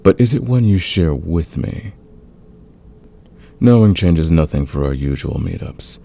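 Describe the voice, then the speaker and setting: Smitten fantasy villain played by a man: extremely low, husky voice, unhurried, teasing and dominant, for intimate ASMR romance. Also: lively intonation, mellow, exaggerated voice. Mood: confessional, seductive. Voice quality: gravelly, husky. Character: smitten male fantasy villain, patient, dominant, smoothly seductive. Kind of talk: romantic ASMR audio roleplay.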